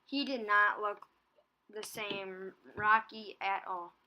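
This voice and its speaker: A woman narrating a children's story in a halting read-aloud manner, stumbling here and there. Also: medium pitch, steady tone, pushed and bright, slight background noise, rough recording.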